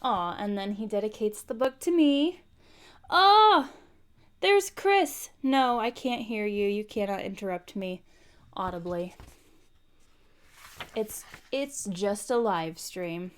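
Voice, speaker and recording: A woman's voice: medium pitch, animated, takes it slowly, pauses often, smooth. A woman hosting an informal live read-aloud, speaking in a conversational way as she chats and narrates. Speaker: host reading a book aloud on a live stream. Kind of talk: informal livestream chat and audiobook-style narration.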